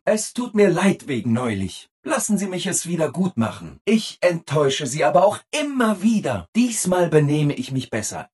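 An actor playing a relentlessly cheerful, servile robot assistant in a video game, gushing and eager to please. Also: male voice, a little high, slightly rough, heavily acted. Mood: abject, self-blaming. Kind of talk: video game character acting in a German dub.

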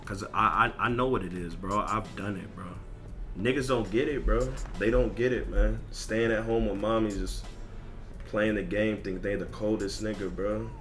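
Bright-voiced man ranting on a stream. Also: lowish voice, fairly even intonation, normal speed. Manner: blunt, emphatic, repetitive, slangy, profane. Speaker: gaming streamer. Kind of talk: casual livestream rant over gameplay.

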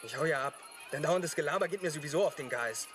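A man's voice: fairly high pitch, quick pace, a bit bright, recorded from a distance, natural voice. An actor playing a touchy, cautious teenage boy in a radio drama, speaking colloquially and irritably. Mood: defensive, irritated.

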